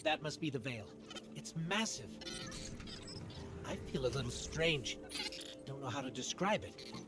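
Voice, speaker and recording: A man's voice: mid-range voice, expressive, a little slow, close to an everyday voice. A man playing a small, anxious robot companion in a video game, speaking with earnest, uncertain wonder. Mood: awed, uneasy. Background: loud music.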